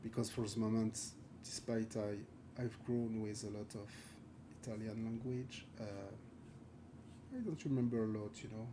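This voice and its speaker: A man from France with a French accent, thinking aloud and chatting with viewers while he paints live, halting and searching for words. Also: lowish voice, steady tone, noisy background. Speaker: concept art streamer. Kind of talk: live art stream chat.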